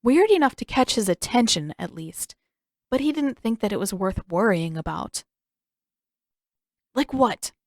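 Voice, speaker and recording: A woman's voice: mid-range voice, big pitch swings, normal speed, no echo, studio quality. A woman reading scripted fiction aloud as an audiobook narrator.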